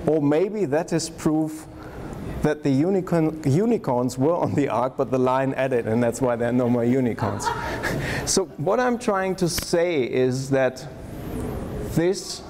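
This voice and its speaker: A man with a medium-pitched voice presents slides at a conference. He talks conversationally and adds wry side remarks. The recording comes from a lecture hall and carries noticeable background noise. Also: a little slow. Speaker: theologian giving a conference lecture. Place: darkened lecture hall at a podium, audience seated.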